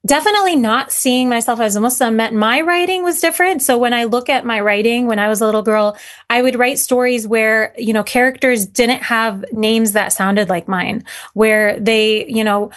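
A woman's voice: on the high side, moderate pace, fairly bright tone. A woman who came to America from Syria as a child, talking earnestly about her writing as an interview guest, with frequent fillers and restarts. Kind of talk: casual interview talk.

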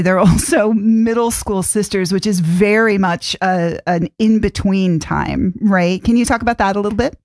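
A woman's voice: mid-range voice, dry recording, studio quality. A woman host interviewing an author, encouraging and restarting her sentences often. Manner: warm, encouraging, halting restarts. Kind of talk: interview questions.

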